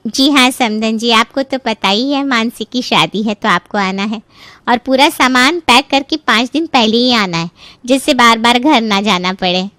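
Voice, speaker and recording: A woman playing a polite, concerned middle-aged mother of the bride in an animated family story. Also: on the high side, normal speed, dry recording. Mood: warmly inviting. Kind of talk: Hindi animated story dialogue.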